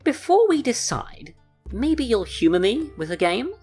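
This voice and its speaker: Fantasy audio drama: female actor as distrustful male merfolk adventurer, confrontational, animated pitch, music behind. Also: measured pace, husky, exaggerated voice. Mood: skeptical, challenging. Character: mistrustful, challenging merfolk rogue adventurer, male.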